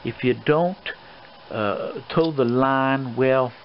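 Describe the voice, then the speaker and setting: A man playing a stern, fatherly old colonel in an improvised role play, scolding a young man in a halting, rambling way. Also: medium pitch, lightly acted. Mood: firm, concerned. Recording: dull lo-fi recording with clear background noise.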